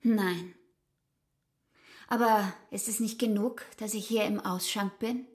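Proud, intimate young barmaid played by an actress. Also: female voice, medium pitch, steady tone, slow, darkish tone, natural voice. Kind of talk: literary radio drama acting.

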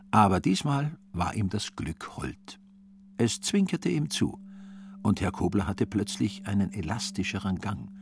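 A man narrating a literary radio play in a wry, deliberate manner with theatrical emphasis. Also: on the low side, darkish tone.

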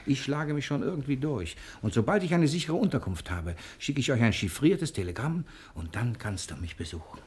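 An actor playing a confident, quick-witted fugitive husband in a 1960 German radio drama, soothing and persuasive in manner. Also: male voice, mid-range voice, very animated, a little mellow, slight background noise, close to an everyday voice. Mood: persuasive, urgent.

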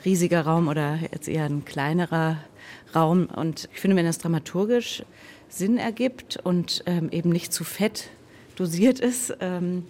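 A woman's voice: lowish voice, a little slow, a little mellow. A woman giving her opinions in a conversational interview, speaking as a director about her craft. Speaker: theatre and radio play director being interviewed.

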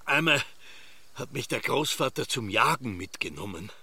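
An actor playing a brooding Austrian farmer father lost in memories, telling them slowly and solemnly in a radio drama. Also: medium pitch, lively intonation, vocal fry, sharp tone, husky, full character voice. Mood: brooding, reminiscing. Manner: slow, solemn, archaic storytelling. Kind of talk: theatrical radio drama monologue.

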